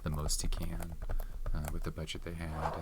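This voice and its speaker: A soft-spoken man chatting unhurriedly about films, often trailing off to search for names and now and then dropping into a whisper. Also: very deep voice, completely flat delivery, moderate pace. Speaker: ASMR channel host. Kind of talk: soft-spoken ASMR movie chat. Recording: audible background noise with keyboard and tapping clicks. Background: tapping and typing clicks.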